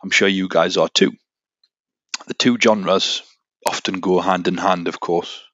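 A man's voice: deep voice, steady tone, a little slow, pushed and bright, dry recording, muffled recording. A man hosting a podcast, delivering scripted narration with casual, conversational asides straight to the listener. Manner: conversational, addresses listeners directly. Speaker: podcast host.

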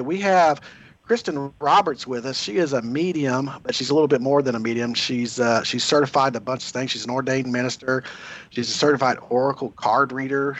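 A man hosting a radio talk show, slightly hoarse and chatty, often correcting himself mid-sentence. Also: medium pitch, fairly bright tone. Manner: rambling, self-correcting, chatty. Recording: slightly dull lo-fi radio audio.

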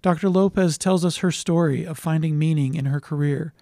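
A man's voice: on the high side, fairly quick, mellow, no room sound, clean studio sound. A man from Utah hosting a podcast, reading a guest introduction and then interviewing. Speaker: podcast host.

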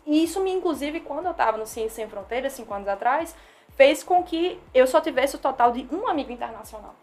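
High-voiced woman with a Northeastern Brazilian accent. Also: fairly quick, faint music behind. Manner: earnest, animated storyteller. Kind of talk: casual chat.

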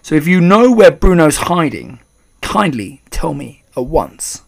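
Man acting an angry, blustering English father, high-pitched. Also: very animated, measured pace, gravelly, exaggerated voice. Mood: angry, suspicious. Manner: rude, shouting, spluttering, stammering when shocked.

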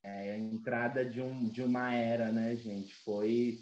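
A man's voice: mid-range voice, level tone, measured pace, a little mellow, muffled recording, slight background noise. A man in his twenties with a Brazilian accent, chatting casually as a podcast panelist. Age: 20s. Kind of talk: casual group chat. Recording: echoey roomy audio.

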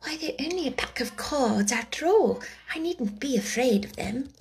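A woman's voice: very high-pitched, very animated, unhurried, pitched above the performer's own voice, exaggerated voice. A woman voicing a polite, plucky and self-possessed young girl in a storybook, speaking with decision. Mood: private reasoning. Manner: polite yet decided.

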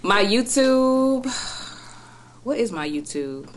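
A woman guest telling her story in a casual interview, chatty and relaxed, often trailing off quietly. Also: a little high, slow. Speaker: guest rapper.

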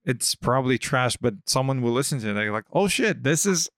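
A man hosting a podcast in casual chat, often pausing and restarting as he searches for words. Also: mid-range voice, expressive, fairly bright tone, no room sound, clean studio sound. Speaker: podcast host. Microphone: studio podcast mic.

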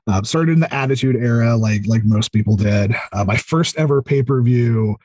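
A man in his thirties chatting casually as a podcast guest, explaining things enthusiastically in a halting way full of fillers. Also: medium pitch, a little mellow. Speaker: podcast guest, audio drama creator. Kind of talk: casual interview chat.

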